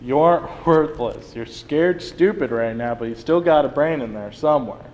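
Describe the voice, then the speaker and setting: A man acting in a play reading as a steady, plain-spoken friend who coaxes and reassures, recorded in a noisy room. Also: a little high, normal speed, in a large hall, lo-fi sound, lightly acted. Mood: reassuring. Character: Frank, steady, reassuring male friend, no longer young. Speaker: drama student actor. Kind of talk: dramatic reading of a stage play.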